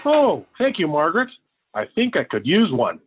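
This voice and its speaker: A man's voice: a little high, huge pitch swings, normal speed, a little hiss or hum. An actor playing a jovial, conniving middle-aged American father, eager and folksy, in 1940s radio sitcom style. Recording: tinny, band-limited old radio recording.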